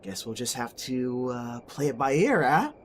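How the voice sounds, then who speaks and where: Animated man playing an excitable young guy, noisy audio. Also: mid-range voice, moderate pace, lightly acted. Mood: candid. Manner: casual, slangy, animated. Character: friendly, excitable young man loyal to his friends. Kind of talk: amateur audio drama acting.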